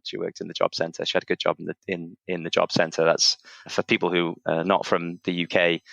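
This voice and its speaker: A Welsh man in his thirties talks in a low register with a bright, forceful edge. He reflects on his past, hedging with small phrases. The sound is close and dry. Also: creaky, dry recording. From Wales. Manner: thoughtful, many hedges like "kind of" and "I suppose". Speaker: podcast guest. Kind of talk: reflective conversational interview.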